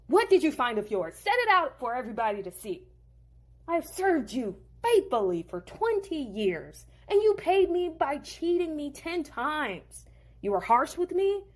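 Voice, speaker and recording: A woman's voice: high voice, pitched above the performer's own voice. A woman doing an aggrieved young shepherd. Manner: pleading, then protesting. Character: wronged young man, aggrieved husband and shepherd.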